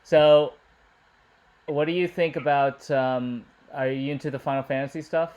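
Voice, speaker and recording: A man's voice: fairly high pitch, steady tone, moderate pace, a little mellow, dull audio. A man chatting casually and easily, laughing and agreeing often. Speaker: conversation partner. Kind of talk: casual chat.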